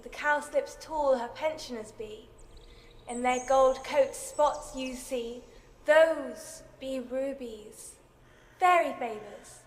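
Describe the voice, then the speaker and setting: A woman's voice: high voice, in a large hall. A woman playing a wandering fairy servant of the fairy queen, speaking lilting verse in a Shakespearean audio drama. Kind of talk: lyrical Shakespearean verse acting.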